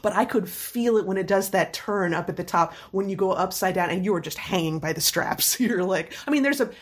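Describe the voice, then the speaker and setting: A woman with a slightly scratchy voice, telling personal stories in a casual, animated, laughing way. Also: on the low side, husky. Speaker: storyteller in a chat. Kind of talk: casual anecdotal chat.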